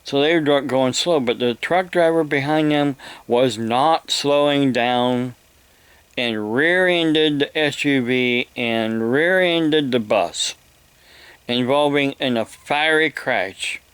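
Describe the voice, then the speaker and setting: An older man with an American accent delivers a rambling monologue, halting and deliberate, often repeating his phrases. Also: mid-range voice, a bit bright, clean tone, no room sound. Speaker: evangelist vlogger.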